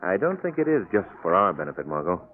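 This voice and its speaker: An actor playing a confident, coolly determined gentleman sleuth, decisive and urbane, in a 1940s radio drama. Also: low voice, big pitch swings, fast, slight background noise. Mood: intrigued, calm. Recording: tinny 1940s radio recording, music traces.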